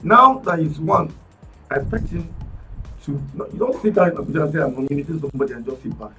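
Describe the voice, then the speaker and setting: A man with a Nigerian accent, arguing politics on a radio talk panel in an animated, emphatic way, often restarting his words. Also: fairly high pitch, fast talker, distant mic, soft background music, low-quality recording. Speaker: political commentator guest. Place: radio studio.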